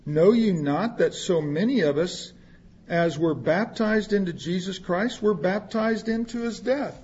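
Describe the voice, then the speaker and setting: A man's voice: high voice, unhurried, a little mellow, husky, lo-fi sound. A man preaching a sermon, reading scripture aloud and explaining it in plain words, with asides to the congregation. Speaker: pastor.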